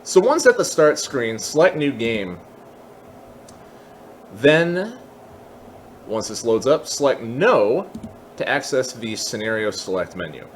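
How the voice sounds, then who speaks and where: A man hosting a casual software tutorial, explaining things step by step in an informal way. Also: mid-range voice, very animated, slow, pauses often, a little hiss or hum. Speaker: tutorial host.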